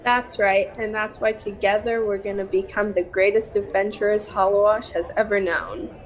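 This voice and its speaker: A woman's voice: medium pitch, fairly even intonation, normal speed, lightly acted, rough recording. A young girl acting a bold, bossy would-be adventurer in an amateur fantasy radio play. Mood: eager. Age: child, 10 to 13.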